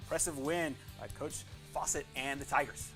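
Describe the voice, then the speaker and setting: A man hosting a sports show, reading high school football scores in an emphatic announcer style. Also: fairly even intonation, normal speed, fairly bright tone, soft background music.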